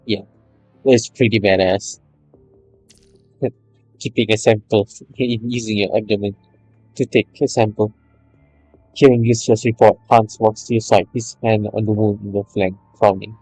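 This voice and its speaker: A man's voice: on the low side, fairly even intonation, unhurried, pauses often, darkish tone, slightly rough, soft background music, low-quality recording. A man reading a video game's story aloud, stumbling over some lines, with casual commentary. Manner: stumbles over read lines, re-reads phrases. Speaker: video game story narrator and player.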